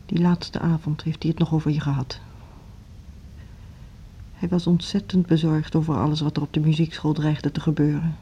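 An actress playing a grieving, earnest woman in an intimate radio drama, with a hushed, husky voice. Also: sits low, little pitch movement, moderate pace, long pauses, full character voice. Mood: grieving, resolute. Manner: earnest, subdued, intense. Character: grieving, earnest music teacher, a determined but lonely woman. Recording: dull lo-fi radio drama recording.

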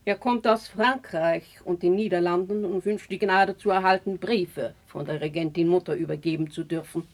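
A woman's voice: lowish voice, steady tone, normal speed, hardly any pauses. A woman playing a stiff, rule-bound duchess in 1950s radio drama, speaking formally and pedantically. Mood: prim, disapproving. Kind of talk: 1950s German classical radio drama acting.

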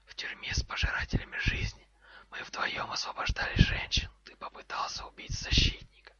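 A man's voice: muffled recording, lightly acted. Man playing a composed boy wizard, hissing whisper. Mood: reporting, grave. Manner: calm, clipped, deliberate. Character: earnest, composed boy wizard speaking snake language.

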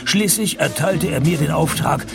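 An actor playing a sardonic, laconic private detective in a radio play, with a gravelly voice and dry wit. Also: male voice, a little high, moderate pace, loud music behind the voice. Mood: dry, matter-of-fact. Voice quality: gravelly, creaky. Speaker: narrator and lead. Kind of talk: radio play narration and dialogue.

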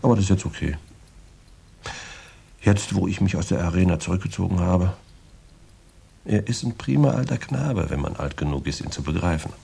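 An actor playing a boastful, tough former heavyweight boxer in a radio crime drama, rambling in slangy, gravelly talk with swagger. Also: male voice, low-pitched, moderate pace, long pauses, warm tone, heavily acted. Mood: chatty, fond. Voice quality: gravelly, husky.

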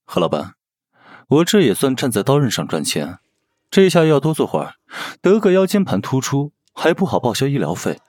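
A man's voice: medium pitch, huge pitch swings, fairly quick, darkish tone. A man playing a shrewd, cheeky young gambler with a wry, grumbling manner in a Chinese audio drama. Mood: sarcastic grumbling. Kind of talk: Chinese audio drama acting with inner monologue.

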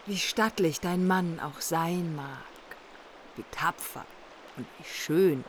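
A woman's voice: low voice, takes it slowly, slight background noise. A proud warrior queen in a radio saga. She speaks formally, with a haughty manner and a firm sense of her own rank. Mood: proud, insistent. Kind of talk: radio play acting.

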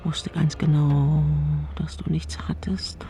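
A woman's voice: low-pitched, flat delivery, mellow, whispered. An older woman playing a frail, eccentric elderly mother in a radio play, nostalgic and imperious. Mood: dreamy, nostalgic. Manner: slurred, drowsy, halting recollection.

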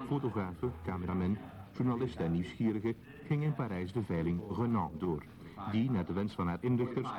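A man's voice: fairly low pitch, lightly acted, low-quality recording. A man narrating a radio drama in a formal, scripted documentary style. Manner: formal, reporting. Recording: crowd murmur behind voice.

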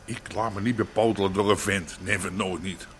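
An actor playing a stubborn, hot-tempered middle-aged Amsterdam underworld boss in a radio drama, blunt and slangy. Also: male voice, deep voice, steady tone, normal speed, slight background noise, natural voice. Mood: gruff, dismissive. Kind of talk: naturalistic Dutch radio drama acting.

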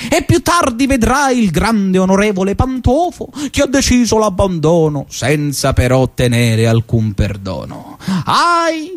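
A mocking Sicilian radio announcer, played by an actor, high-voiced and swooping, half reciting and half singing his satire. His voice rises and dips constantly as he announces in a stagey, sing-song way. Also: full character voice. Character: sardonic young male radio announcer mocking local bosses. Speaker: actor playing a pirate-radio host. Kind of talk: theatrical radio monologue. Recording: theatre stage recording.

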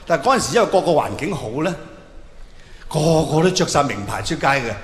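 A man with a high voice and a Hong Kong Cantonese accent tells comic stories in stand-up style. His delivery is colloquial and animated, slipping into bits of acted dialogue. The sound rings with long hall reverb over faint background noise. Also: fast talker. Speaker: stand-up comedian. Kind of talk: stand-up comedy monologue, storytelling to a live audience. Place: large indoor venue with long reverb. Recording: echoey hall, live stage, faint noise.

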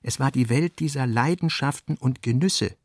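A man narrating a literary radio drama in a measured, deliberate way. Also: mid-range voice, no room sound, clean studio sound. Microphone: dry studio mic.

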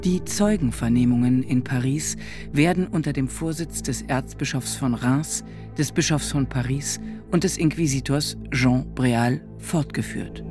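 A narrator announcing a radio drama in a formal, measured, report-like way. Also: mid-range voice. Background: music.

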